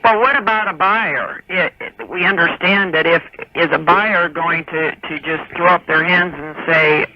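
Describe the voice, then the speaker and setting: A man's voice: a little high, steady tone, unhurried, few pauses, no echo. A man calling a radio advice show, chatting casually over the telephone. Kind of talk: casual phone conversation. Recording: dull, slightly noisy telephone line.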